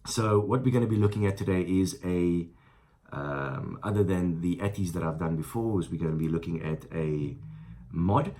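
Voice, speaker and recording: A man's voice: sits low, normal speed, dark tone. A South African man in his thirties with a stuffy nose from the flu, reviewing a product in a casual, rambling way with many fillers. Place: small room indoors at a table.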